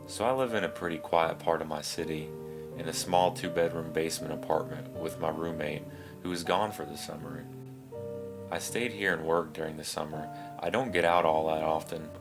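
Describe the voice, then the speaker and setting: Male narrator for creepy true-story readings, low-pitched, over a bed of plucked guitar music. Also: fairly even intonation, normal speed. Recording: music behind the voice.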